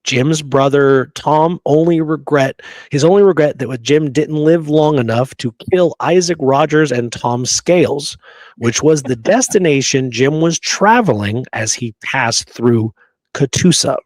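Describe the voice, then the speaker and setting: A man's voice: a little high, a little slow, no echo. A man co-hosting a history talk show, reading accounts aloud and adding casual remarks. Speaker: show co-host.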